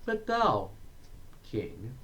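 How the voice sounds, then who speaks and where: A man reading a dramatic monologue in verse, in a deliberate, declamatory manner with frequent pauses. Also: mid-range voice, huge pitch swings, a bit bright. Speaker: poetry reader. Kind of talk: dramatic monologue poetry recitation.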